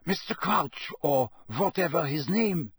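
A man performs a young student wizard in a fantasy audiobook. He mutters slowly, dazed and aggrieved, in a raised, husky voice. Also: fairly high pitch, lively intonation, dry recording, studio quality, voice pushed up, exaggerated voice. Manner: slow, muttering. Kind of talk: dramatised audiobook reading.

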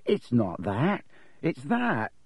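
A man's voice: medium pitch, wildly swooping pitch, slow pace, creaky, breathy edge, dry recording, clean studio sound, voice pushed up, heavily acted. A man voicing a frightened teenage boy who speaks faintly, full of dread.

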